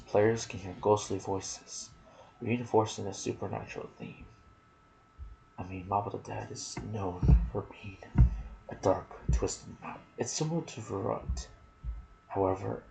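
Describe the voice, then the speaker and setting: A man giving soft-spoken ASMR commentary on a video game, slipping into whispers and pausing between short phrases. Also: fairly low pitch, creaky, slight background noise, poor audio. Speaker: gaming channel host.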